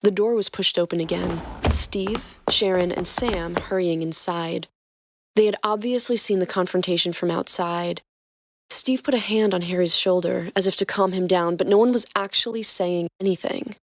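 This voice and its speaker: A woman narrating a fan fiction story in a steady reading voice. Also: fairly low pitch, normal speed, no room sound, studio quality. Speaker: podcast co-host narrating fanfiction.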